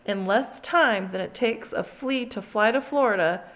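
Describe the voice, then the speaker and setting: A woman reading a children's picture book aloud in an expressive, sing-song way. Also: a little high, measured pace, muffled recording. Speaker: storyteller.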